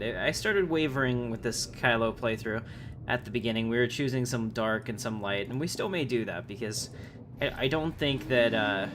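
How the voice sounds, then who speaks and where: Low-voiced man streaming a game. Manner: chatty, rambling, slangy. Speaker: livestreamer. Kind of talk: casual game livestream commentary. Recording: clearly noisy livestream audio.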